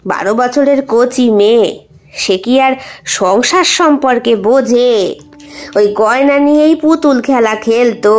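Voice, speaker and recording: A woman's voice: mid-range voice, a little mellow, lo-fi sound, voice pushed down, close to an everyday voice. A woman voicing a grave, folksy old village man with a drawling rustic manner in an audio story. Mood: grave, cautioning.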